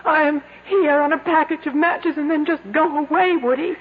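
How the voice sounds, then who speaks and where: A woman's voice: high-pitched, fairly even intonation, moderate pace, lightly acted. An actress playing a frightened, weakening woman trapped in a cave, pleading insistently in 1970s radio drama style. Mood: weak, despairing. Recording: tinny, hissy 1970s radio broadcast.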